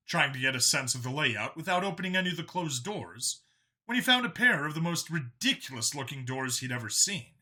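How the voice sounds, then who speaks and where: A man's voice: a little high, sharp tone. A man narrating a science fiction action story from a script.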